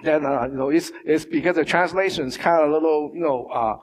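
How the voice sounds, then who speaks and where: Halting man preaching. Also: a little high. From Hong Kong. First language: Mandarin or Cantonese. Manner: halting, many fillers and restarts. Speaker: pastor. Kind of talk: sermon. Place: church hall. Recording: long church hall reverb.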